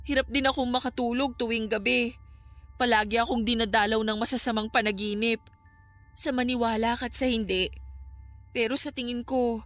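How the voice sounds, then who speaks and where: A woman's voice: fairly high pitch, fairly bright tone, lightly acted. A Filipino woman playing a troubled young vlogger, haunted, weary and easily hurt, in a radio drama.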